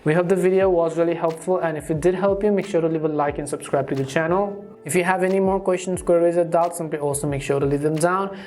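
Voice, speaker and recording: A man's voice: on the high side, fairly even intonation, quick pace, few pauses, a little mellow. Man narrating a how-to tutorial. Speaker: tutorial channel host.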